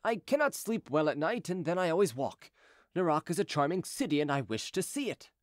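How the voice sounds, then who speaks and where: A man voicing a courteous, persuasive gentleman fugitive who talks evasively, as in an audiobook. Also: a little high, big pitch swings, brisk, no echo, studio quality, pitched above the performer's own voice. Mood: polite insistence. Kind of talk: audiobook character dialogue.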